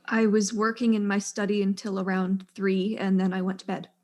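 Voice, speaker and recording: A proud, weary widow and engineer, played by a woman in a mystery drama. Her voice sits at a medium pitch, and she speaks in curt, articulate sentences with dry exasperation. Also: monotone, normal speed, darkish tone, lightly acted. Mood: composed.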